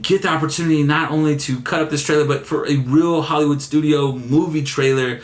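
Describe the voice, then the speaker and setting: Excited young man, slightly raspy, echoey room. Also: on the high side, a little fast. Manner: excited, repeats words, says man a lot. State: still a bit raspy after laryngitis. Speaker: vlogger. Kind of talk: casual vlog chat to camera.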